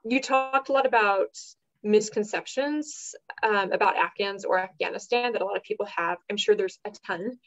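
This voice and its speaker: Woman host on a livestream, mid pitch, affirming and casual, dull lo-fi sound. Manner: warm affirming backchannels, casual questions. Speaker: livestream host from an advocacy group. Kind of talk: livestream interview. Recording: dull lo-fi audio.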